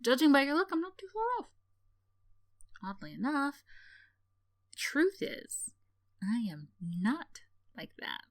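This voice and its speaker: A woman's voice: high-pitched, huge pitch swings, takes it slowly, pauses often, no echo, clean studio sound, full character voice. A woman playing a sardonic tsundere villain in a roleplay ASMR, teasing and condescending with theatrical sighs and scoffs, yet secretly caring. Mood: cynical, earnest.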